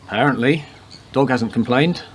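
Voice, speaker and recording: A laconic male detective played by an actor with a dark, deadpan wit. His voice sits at a middle pitch and his lines are short and dry, as radio drama dialogue. Ambient noise is clear behind him. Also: normal speed, natural voice. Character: wisecracking male police detective with macabre humour. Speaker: actor in a community radio play.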